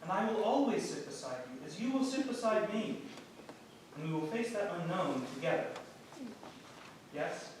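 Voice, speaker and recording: A man acting a devoted, gently playful husband from early modern England in a staged play reading, earnest and tender in delivery. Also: high voice, expressive, normal speed, pauses often. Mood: tender, reassuring. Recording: roomy theatre, distant mic, faint noise.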